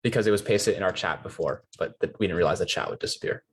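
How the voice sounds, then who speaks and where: A man on a debate team making quick, polite requests to the organizers, with small restarts, over a video call. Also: sits low, slightly rough.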